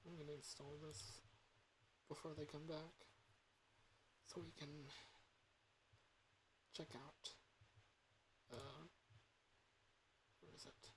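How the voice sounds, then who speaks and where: A woman's voice: low-pitched, steady tone, takes it slowly, pauses often, background noise. A woman livestreaming games, muttering casually to herself as she works. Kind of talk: casual muttering while gaming on stream.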